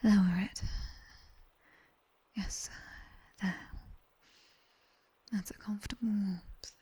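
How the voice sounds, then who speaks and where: A British woman playing a sharp-tongued, teasing demoness tutor in a soft, whispered ASMR roleplay. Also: medium pitch, fairly even intonation, breathy edge. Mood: teasing, instructing. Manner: slow, hushed, teasing and bossy.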